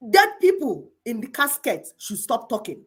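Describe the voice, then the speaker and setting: A middle-aged woman with a Nigerian Igbo accent delivers fervent commentary. Her voice sits a little high. She drives her points home with repetition and a preaching rhythm. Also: big pitch swings, moderate pace, slightly rough. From Igbo people of Nigeria. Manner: emphatic, preaching cadence with repetition. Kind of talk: impassioned commentary.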